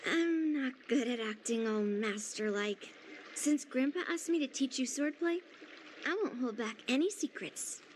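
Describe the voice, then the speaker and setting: A woman's voice: high-pitched, expressive, measured pace, a little hiss or hum. A woman voicing a friendly, candid young sword master in an anime game, informal and encouraging.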